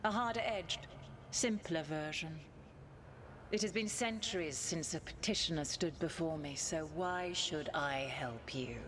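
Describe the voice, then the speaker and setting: Low-voiced imperious ancient elven goddess. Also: female voice, fairly bright tone, a little hiss or hum. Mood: imperious, challenging. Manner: formal, haughty, deliberate, commanding. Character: ancient imperious elven goddess spirit, proud, stern, testing petitioners. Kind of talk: dramatic video game acting.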